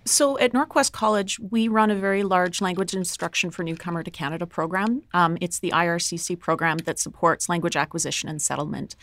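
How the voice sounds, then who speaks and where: A woman's voice: lowish voice, a little fast, hardly any pauses, a bit bright, dry recording. A woman, an associate dean of research, explaining her work matter-of-factly as a podcast guest. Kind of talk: interview answers.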